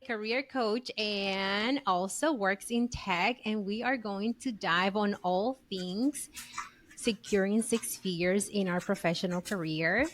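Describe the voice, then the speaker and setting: A woman's voice: mid-range voice, slow, a bit bright. A woman hosting a podcast, asking questions in a casual, enthusiastic way. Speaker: podcast host. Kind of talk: podcast interview conversation.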